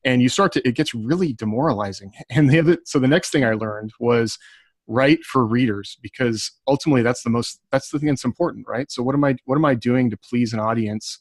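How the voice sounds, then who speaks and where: A man's voice: mid-range voice, fairly quick, dry recording. A man talking casually on a writers' panel, giving advice in an earnest, encouraging way. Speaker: science fiction writer on a podcast panel.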